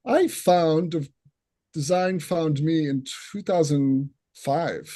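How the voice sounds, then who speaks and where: A man's voice: a little high, slow, dark tone. A man with a Boston accent, introducing himself as an instructor on a video call and telling his story in an earnest way. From northeastern United States. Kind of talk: online open house self-introduction.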